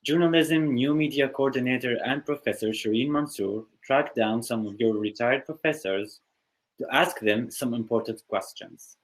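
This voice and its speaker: A man's voice: medium pitch, moderate pace, rough recording. A man from Syria with a Syrian Arabic accent, co-hosting and reading a friendly introduction with small hesitations. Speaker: co-host. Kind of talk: scripted introduction.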